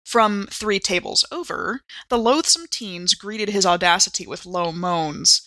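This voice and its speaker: A woman podcast performer with a middle-pitched, bright voice. She sounds at home both narrating a story and chatting casually, and the recording is very dry. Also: measured pace, no room sound. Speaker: podcast cast member. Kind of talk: dramatic audiobook-style reading and casual chat.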